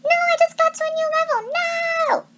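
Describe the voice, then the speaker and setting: An excitable teenage satyr boy from a storybook, acted out by a woman, shouts in a shrill, very high voice. He is obsessed with his games and hammers out his words emphatically. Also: moderate pace. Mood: protesting.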